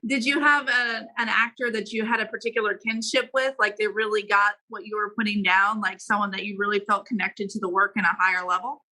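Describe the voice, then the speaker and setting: A woman's voice: medium pitch, fairly even intonation, fairly quick, hardly any pauses, bright, forceful tone, poor audio. A woman co-hosting a podcast, casually putting questions to a guest. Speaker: podcast co-host.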